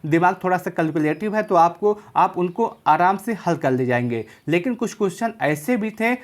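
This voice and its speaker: A woman's voice: on the low side, lively intonation, normal speed. A woman speaks as a coaching presenter. She addresses students informally, explaining step by step and going back over key points to stress them.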